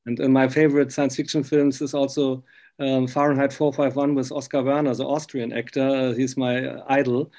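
A man, interviewing casually in halting, English. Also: medium pitch, level tone, darkish tone. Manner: halting non-native English.

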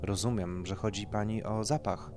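A man's voice: lowish voice, fairly even intonation, normal speed, over music, close to an everyday voice. A man playing a courteous, businesslike clinic director in an audio story, reassuring like a salesman. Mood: polite, reassuring.

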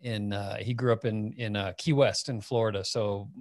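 A man in his late forties, raised in Phoenix, telling stories in a relaxed, rambling way with many fillers on a video call. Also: on the low side, moderate pace, a little hiss or hum. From Phoenix, Arizona. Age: 40s. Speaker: guest, company founder. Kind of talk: casual storytelling interview. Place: home office. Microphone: headset over video call.

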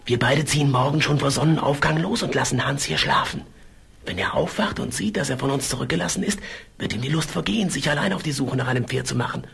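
An actor playing a sly young miller's lad, whispering conspiratorially in a fairy tale audio play. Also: male voice, mid-range voice, quick pace, vocal fry, fairly bright tone, breathy edge, full character voice. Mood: scheming whisper.

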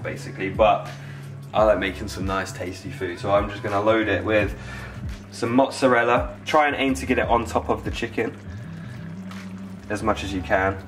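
Young British man, casual cooking host over music. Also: lowish voice. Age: 20s. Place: home kitchen.

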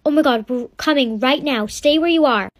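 A woman playing a loud worried mom. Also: sits high, normal speed, natural voice. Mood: alarmed. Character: fussing mother of a large family.

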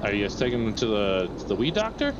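A man's voice: lowish voice, very animated, quick pace, lo-fi sound, heavy background noise. A man playing a polite, bewildered sheriff's deputy, hesitant and stammering, in an improvised game role-play. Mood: incredulous.

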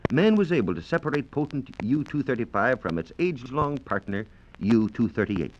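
An American man giving formal, measured scientific explanations as a reporter on a 1940s radio program. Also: medium pitch, slight background noise. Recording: dull, band-limited 1940s radio transcription disc.

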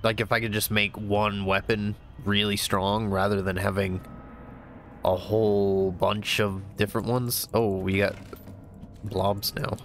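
A man's voice: lowish voice, level tone, unhurried. A man with a stuffy, congested voice, casually thinking aloud as he plays a game for the first time. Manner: thinking aloud, easygoing, upbeat exclamations. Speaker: gamer playing a new game. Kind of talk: casual let's-play commentary. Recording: game music behind.

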